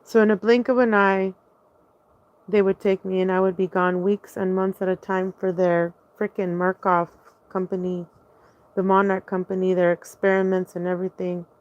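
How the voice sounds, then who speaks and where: A woman's voice: medium pitch, level tone, normal speed, darkish tone, dull audio. A woman telling her own story in a casual, rambling monologue, hesitating often, restarting sentences and spelling out words letter by letter.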